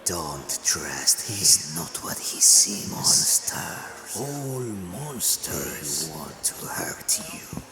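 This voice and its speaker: A man's voice: sits low, very animated, very slow, hardly any pauses, full character voice. A man voicing a cruel whispering spirit that taunts a woman from inside her head, in a radio play. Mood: taunting. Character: hissing male inner-voice spirit, cruel, relentless. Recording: roomy echo, loud music behind.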